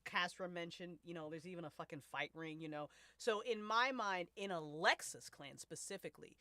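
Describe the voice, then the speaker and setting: A woman's voice: lowish voice, no room sound. A woman talking casually and emphatically as a fiction podcast creator, with informal, sweary phrasing. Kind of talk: casual, animated discussion.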